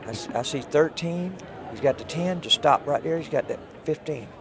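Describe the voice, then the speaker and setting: A man giving folksy, chatty color commentary, his voice slightly hoarse from cheering. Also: a little high, moderate pace, a crowd in the background. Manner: folksy, chatty, thinks aloud. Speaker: pool co-commentator.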